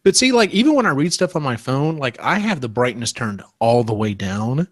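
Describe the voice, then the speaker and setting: A man's voice: medium pitch, lively intonation, normal speed, no room sound, studio quality. A man hosting a casual panel discussion, talking in a rambling, conversational way. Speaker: podcast host.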